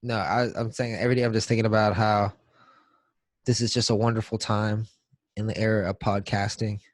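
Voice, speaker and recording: Low-voiced man, casual podcast co-host. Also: little pitch movement, fairly quick, no echo. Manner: profane, slangy. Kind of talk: casual comedic podcast chat.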